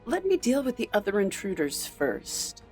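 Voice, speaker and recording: A smug supervillain played by a woman in an audio drama. She sounds mocking and theatrical, taunting whoever stands against her, at a medium pitch with faint music underneath. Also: huge pitch swings, moderate pace, darkish tone. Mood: amused, scornful. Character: smug, mocking female supervillain holding hostages.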